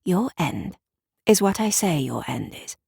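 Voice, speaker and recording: A woman's voice: deep voice, sing-song, unhurried, creaky, a little mellow, husky, no room sound, studio quality, full character voice. A woman voicing a coolly menacing, controlling female neighbour in an audio drama, clipped and cold. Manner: clipped, cold, barely moving the lips.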